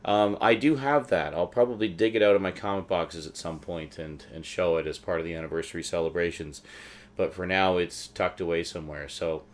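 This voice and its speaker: Low-voiced man, casual halting vlog talk. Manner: halting, thinking aloud, conversational. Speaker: host of a collector's show. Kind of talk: casual rambling vlog commentary on a collection.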